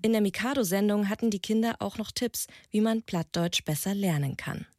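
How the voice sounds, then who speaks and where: A woman's voice: medium pitch, a little fast, a little mellow. A woman narrating a scripted radio report as a reporter.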